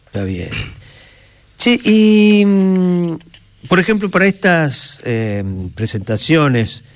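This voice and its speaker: A man hosting a radio interview. He asks questions in a lively way and adds warm asides. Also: high voice, slow pace. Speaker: radio host.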